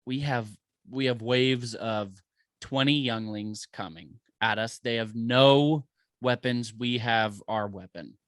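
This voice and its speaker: A man co-hosting a podcast in casual chat, animated and saying "bro" and "dude" a lot. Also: medium pitch, slow pace, clean tone, dry recording. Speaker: podcast co-host.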